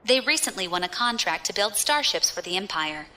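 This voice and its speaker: A woman's voice: medium pitch, very animated, a little fast, bright, forceful tone. An actress playing a cool, scheming female space pirate leader in an audio drama, wry, teasing and self-assured. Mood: determined, confident.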